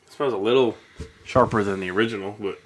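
A man's voice: fairly low pitch, fairly even intonation, fast. A man in his thirties co-hosting a live stream, joking crudely and laughing while tasting hot sauces at a desk mic in a small studio room. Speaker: co-host. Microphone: studio mic on desk stand. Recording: noisy live stream audio.

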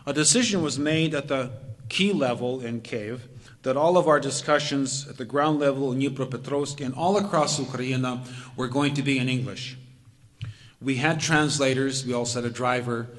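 An older man speaks steadily from a podium microphone, laying out his points one after another. His voice sits at an ordinary middle pitch. It carries a long reverb from the community hall around him. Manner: measured, methodical recounting. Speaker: presenter. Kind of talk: lecture presentation. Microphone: podium microphone, far camera.

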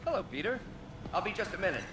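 An actor playing a driven, middle-aged scientist mentor in a video game, intense and excitable. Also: male voice, high voice, fast talker. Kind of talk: dramatic video game voice acting. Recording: game audio under music and noise.

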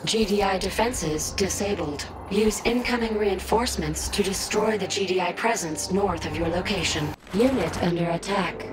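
A woman's voice: mid-range voice, normal speed, few pauses, noisy background, lightly acted. A woman voicing a cool, commanding alien war computer in a strategy game, making clipped, impersonal, formal announcements. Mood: cool, detached. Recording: loud game music behind.

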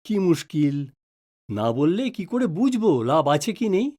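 A man's voice: high-pitched, very animated, measured pace, a little mellow, heavily acted. A man playing a patient, easily flustered middle-aged father who is wrongly accused in an audio drama. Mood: puzzled. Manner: stammers and repeats words when flustered. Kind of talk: Bengali audio drama acting.

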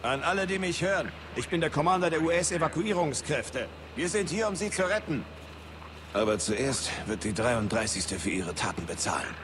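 An actor playing an embattled American special forces commander in a shooter game, giving terse orders. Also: male voice, on the high side, big pitch swings, moderate pace, noisy background. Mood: grim, resolute. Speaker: military commander character in a game. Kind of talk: dubbed shooter game acting. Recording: loud game music behind.

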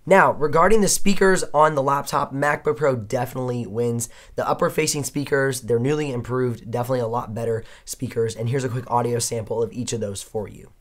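A man in his thirties with a hoarse, worn voice, giving an upbeat, chatty laptop comparison review at a studio desk. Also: mid-range voice, fairly quick, hardly any pauses. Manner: upbeat, chatty, punctuates points with "okay?". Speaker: tech reviewer. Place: indoor studio desk, dim room.

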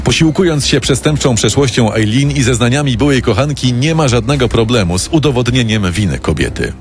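A man narrating a scripted true-crime radio story in a dramatic storytelling manner. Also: mid-range voice. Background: prominent music bed.

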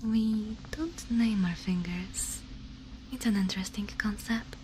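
Slow-whispering, clinically curious alien girl, acted by a woman. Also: mid-range voice, a little mellow, slight background noise, lightly acted. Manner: measured, analytical, detached. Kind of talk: whispered ASMR roleplay.

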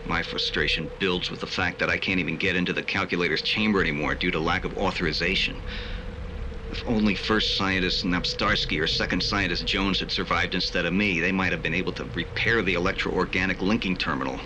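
An actor playing a frustrated, resigned scientist keeping a bleak log in a game. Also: male voice, deep voice, steady tone, brisk, noisy background, close to an everyday voice. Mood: weary despair. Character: weary, frustrated male scientist recording a grim log.

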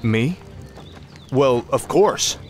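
An actor playing an earnest, confident young warrior prince in a fantasy game, speaking in a heroic, self-assured way. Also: male voice, medium pitch, expressive, very slow, soft background music. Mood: determined. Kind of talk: fantasy video game cutscene acting.

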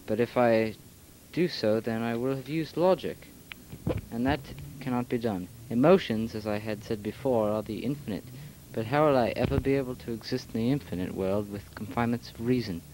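A man delivering a philosophical monologue in an amateur audio drama, solemn and deliberate. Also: medium pitch, a little mellow, slight background noise, low-quality recording. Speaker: narrator of own story.

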